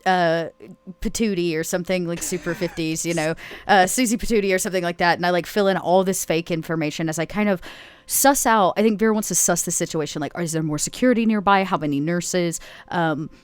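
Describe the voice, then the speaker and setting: A woman with a slightly low voice, recorded close and dry, plays in a tabletop game. She casually narrates what her character does and chats with the storyteller as she goes. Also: a little fast, smooth, no room sound.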